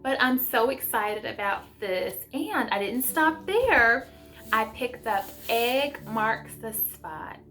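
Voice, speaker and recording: Unhurried, higher-voiced woman, chatty and enthusiastic. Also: pushed and bright. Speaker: homeschool mom sharing book picks. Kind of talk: casual vlog chat with read-aloud passages. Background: music.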